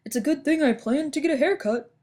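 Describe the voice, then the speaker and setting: An earnest, excitable male lion from a picture book, acted out by a woman. He speaks emphatically in a fairly high voice and stretches out his exclamations. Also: quick pace, close to an everyday voice. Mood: excited.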